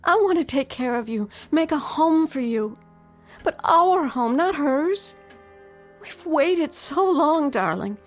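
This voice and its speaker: An intense, high-voiced desperate fiancée. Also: female voice, expressive, soft background music. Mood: pleading. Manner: intense, dramatic pleading with drawn-out phrases. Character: determined, frightened long-waiting fiancée, pleading then defiant. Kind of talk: radio drama acting.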